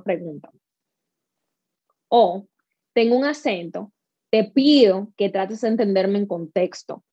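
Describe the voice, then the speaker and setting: Warm-voiced Dominican woman telling stories. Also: mid-range voice, dry recording. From Dominican Republic. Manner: animated storytelling, acts out quoted lines. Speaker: guest, speaker and workshop leader. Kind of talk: conversational interview. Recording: slightly dull, dry sound.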